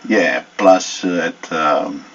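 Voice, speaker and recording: A male pastor, a guest on a radio interview, speaking haltingly and searching for words in English. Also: deep voice, crowd noise. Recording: muffled, distant radio studio recording.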